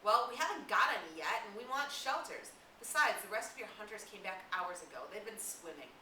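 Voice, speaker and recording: A woman's voice: medium pitch, lively intonation, fairly quick, bright, forceful tone, pitched above the performer's own voice. Woman voicing an exasperated boy leader, roomy recording. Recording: roomy, distant mic, clear hiss.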